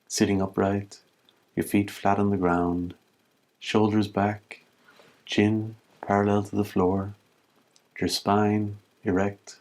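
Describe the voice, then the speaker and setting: A man leading a guided meditation as a teacher, speaking calmly and in measured phrases with long pauses. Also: on the low side, poor audio.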